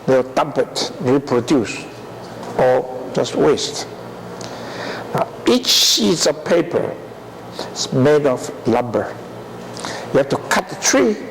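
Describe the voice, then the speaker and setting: An older man lectures at a slow, deliberate pace and keeps tossing rhetorical questions to his class. His voice is gravelly with a creaky edge and sits a little high. A faint hiss runs under it. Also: low-quality recording. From Taiwan. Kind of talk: university lecture.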